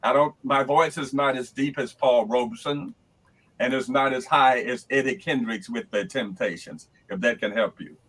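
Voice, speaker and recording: A man's voice: medium pitch, normal speed, a bit bright, low-quality recording. An older man and choral conductor in casual chat, reflective, often repeating words as he gathers his thoughts.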